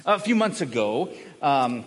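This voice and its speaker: A man's voice: medium pitch, very animated, measured pace. A middle-aged man preaching a conversational sermon as a pastor, often repeating and restarting words as he earnestly explains. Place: large room, preaching to a congregation. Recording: long hall reverb.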